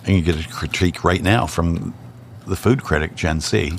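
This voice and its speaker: A laid-back man chatting as a guest on a talk show, dry and wry in what he says. His voice is very low and gravelly. A faint hiss sits under the recording. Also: normal speed. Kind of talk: casual chat.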